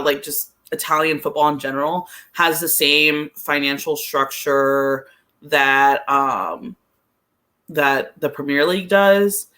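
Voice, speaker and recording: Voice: medium pitch, sharp tone. A podcast guest giving casual, tentative opinions in a football discussion.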